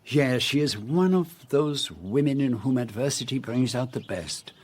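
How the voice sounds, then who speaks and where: An actor playing a shrewd, fussy middle-aged Belgian detective in a radio drama, with a French-speaking Belgian accent and measured, courtly phrasing. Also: male voice, medium pitch, expressive, a little mellow, breathy edge. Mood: musing, measured.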